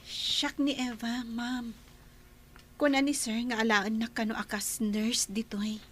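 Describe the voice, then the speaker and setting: A woman's voice: a little high, level tone, unhurried. A woman voicing a polite young nurse, newly hired and unsure of herself. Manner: polite, hesitant. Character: humble young nurse seeking work.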